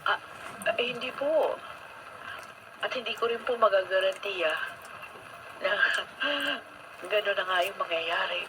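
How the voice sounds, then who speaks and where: A woman's voice: mid-range voice, slow, long pauses, close to an everyday voice. An actress with a Filipino accent playing a caring, candid and earnest female teacher in a radio drama. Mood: polite, hesitant. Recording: very noisy radio broadcast.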